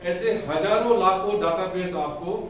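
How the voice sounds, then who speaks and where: Echoey, high-pitched man making a political statement. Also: a bit bright. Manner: emphatic, deliberate, in short phrases with rhetorical questions. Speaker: political party spokesperson. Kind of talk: press conference political statement. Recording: echoey room, distant mic, faint noise.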